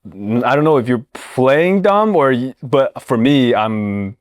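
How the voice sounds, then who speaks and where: A man's voice: medium pitch, lively intonation, slow pace, no room sound, studio quality. A man from China with a Chinese accent and Mandarin as his first language, hosting a playful casual chat and teasing his guest. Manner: teasing, joking. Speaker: podcast host.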